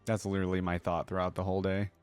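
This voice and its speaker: A man's voice: deep voice, completely flat delivery, very fast, darkish tone, soft background music. A young adult man giving casual reaction commentary, musing aloud in an informal way. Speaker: reaction video host.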